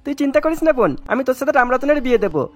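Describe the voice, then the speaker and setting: A woman's voice: high voice, lively intonation, very fast, soft background music. A woman voicing a vengeful male bandit chief in a cartoon, harsh and threatening. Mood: reassuring. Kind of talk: cartoon voice acting.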